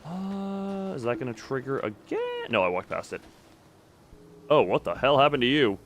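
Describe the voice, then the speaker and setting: A man's voice: mid-range voice, expressive, normal speed, a little hiss or hum. A man giving casual gameplay commentary to a live chat, rambling and thinking aloud as he plays. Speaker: video game streamer.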